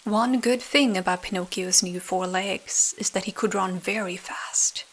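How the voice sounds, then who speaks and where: Soft, whispery woman telling a slow bedtime story. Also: medium pitch, poor audio. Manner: slow, soothing. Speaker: ASMR storyteller. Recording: rain sounds behind the voice. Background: rain.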